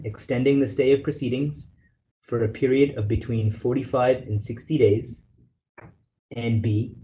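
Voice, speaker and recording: A man lawyer reading a formal legal letter aloud on a conference call, in a measured, formal way. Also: mid-range voice, dark tone. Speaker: lawyer, representative counsel leading a call. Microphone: telephone conference call. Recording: dull conference phone call with noise.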